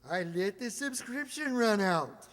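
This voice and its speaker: A man acts out a mild small-town druggist from an old radio comedy. He talks very slowly and dryly, politely contrary and folksy, in a reverberant hall. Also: high voice. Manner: hesitant, deadpan, drawn-out folksy delivery. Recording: reverberant hall, stage re-enactment.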